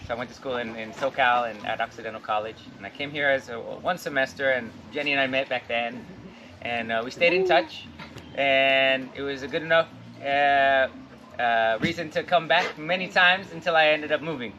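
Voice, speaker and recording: A man's voice: mid-range voice, normal speed, highs cut off, a little hiss or hum. A man from Portland, Oregon, casually telling his story as a cacao farm owner with lots of fillers. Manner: relaxed, rambling, frequent fillers. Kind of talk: casual guided tour and life story. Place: outdoors on a farm.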